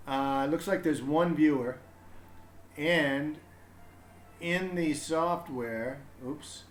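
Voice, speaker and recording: A man's voice: a little high, slow. A man chatting casually and hesitantly as he hosts a livestream, thinking aloud as he goes. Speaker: parent hosting a livestream storybook reading. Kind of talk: casual livestream setup chat. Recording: echoey room with background noise.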